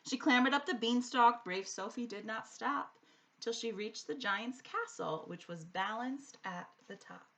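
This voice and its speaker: A woman reading a rhyming children's story aloud like a teacher, with an expressive, sing-song rhythm. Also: fairly high pitch, unhurried. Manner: animated, sing-song rhythm following the rhyme.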